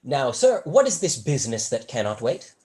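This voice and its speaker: Here is a young actor performing a brazen, silver-tongued male tutor from a period stage comedy. The pitch swoops freely through long, elaborately formal sentences. The manner is arch, witty and smoothly flattering. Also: normal speed, natural voice. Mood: brisk, dry. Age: young adult. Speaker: student actor.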